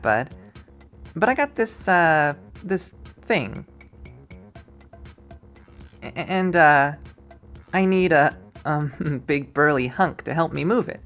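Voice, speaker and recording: A man's voice: on the high side, a bit bright, voice pushed up, heavily acted. A man voicing a playful, blunt young college woman in a visual novel, with a teasing, slangy manner. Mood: flustered, joking. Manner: teasing, slangy, hesitant when asking favours.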